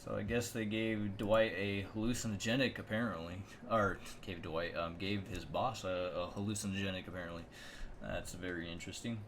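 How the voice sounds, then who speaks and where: A man's voice: sits low, steady tone, normal speed, a bit bright, slight background noise. A man hosting a video game channel, rambling offhand through casual reaction commentary.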